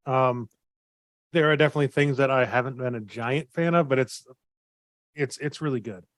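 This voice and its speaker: A man's voice: medium pitch, moderate pace, a bit bright, dry recording, clean studio sound. A man giving his opinions casually as a panelist on a discussion podcast. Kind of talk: casual chat.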